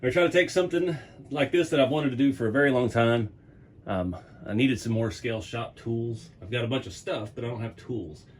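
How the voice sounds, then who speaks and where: A hoarse man at a middle pitch talks through his hobby work in a relaxed, rambling way, chuckling now and then. He is in his home workshop, with a faint hiss of ambient noise behind him. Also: fairly quick. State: losing his voice. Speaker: hobby channel host. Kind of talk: casual workshop vlog.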